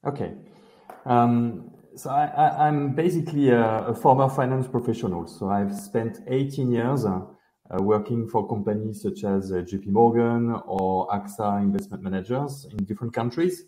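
A man's voice: mid-range voice, normal speed, mellow. A man in his fifties from France with a French accent, explaining his background in a video call interview with frequent hesitations. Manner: explanatory, many hesitations and restarts. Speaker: guest, company founder. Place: indoor home office. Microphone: headset. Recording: roomy video call audio.

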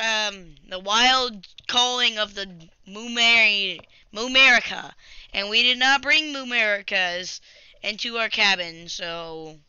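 Very bright, clear young gamer. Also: mid-range voice, no echo. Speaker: video host and player. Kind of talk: casual gaming chat with improvised storytelling. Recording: dull lo-fi webcam-grade audio.

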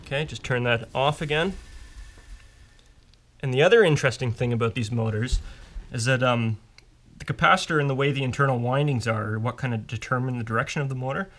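A man's voice: medium pitch, a little fast, a bit bright, slight background noise. Man giving a hands-on demo. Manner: informal, explanatory, step-by-step. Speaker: technician instructor. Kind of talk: casual hands-on technical demonstration. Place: indoors at a workbench.

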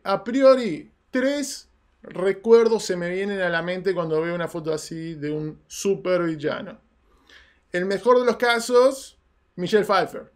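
A man hosting film news in a small studio, talking animatedly in casual commentary. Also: high-pitched. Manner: animated, gestures a lot while talking. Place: small studio with red curtain backdrop.

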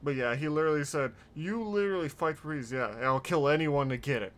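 Higher-pitched man, casual reaction host. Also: fast talker, fairly bright tone. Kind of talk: casual chat commentary on game clips.

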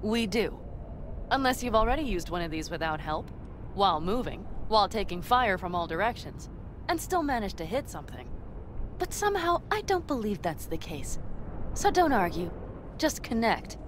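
A woman's voice: medium pitch, very animated, moderate pace, lightly acted. An actress playing a headstrong, sardonic nomad woman in a video game, blunt and commanding. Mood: bossy. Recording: clear vehicle and outdoor noise.

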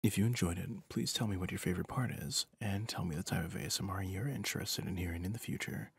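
A man's voice: deep voice, quick pace, creaky, slightly rough, no echo, clean studio sound. A man who makes audio roleplays, giving a channel outro and thanking his listeners.

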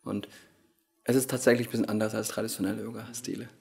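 A man in his thirties, Korean-born, who speaks with many pauses and fillers as a yoga teacher answering interview questions, recorded indoors on a handheld recorder. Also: fairly low pitch, flat delivery, vocal fry, a little mellow. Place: hotel lounge at a conference, indoors. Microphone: handheld recorder held by the interviewer.

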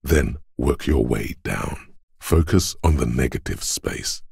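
A man voicing the cold inner voice of logic in a detective's mind for a video game, measured and analytical, with a gravelly, husky delivery. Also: extremely low pitch, animated, a little mellow, no room sound, clean studio sound, exaggerated voice. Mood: coolly deliberate.

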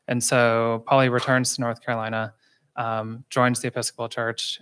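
A man telling a story in a relaxed, measured way on a panel, speaking into a handheld mic on a small stage. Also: medium pitch. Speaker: panel guest, impact producer. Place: small stage before a live audience.

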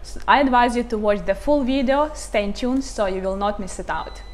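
Higher-voiced woman hosting an interview. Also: normal speed. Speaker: interviewer, channel host. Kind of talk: presenting and interviewing. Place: furnished indoor lounge. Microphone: lapel.

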